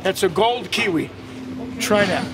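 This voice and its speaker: Raspy man, market vendor, lively swooping voice, high for a man, joking banter, busy outdoor market noise. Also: measured pace. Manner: jokey, flirtatious banter. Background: trucks beeping, crates moving.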